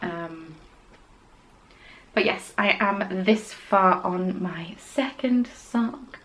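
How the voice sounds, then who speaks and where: A young woman hosts a casual podcast. She talks in a chatty, rambling way and drops her voice to a whisper for quick asides. Her pitch sits in the middle of the usual range. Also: fairly bright tone, low-quality recording. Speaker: podcast host. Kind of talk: casual chat about knitting.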